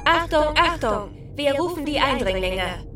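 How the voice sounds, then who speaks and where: An actress playing a wary alien lieutenant in a radio play, sharp, suspicious and official. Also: female voice, high-pitched, lively intonation, a little slow. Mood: alarmed, challenging. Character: wary female alien lieutenant challenging intruders over radio. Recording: echoey, distant radio transmission, music behind.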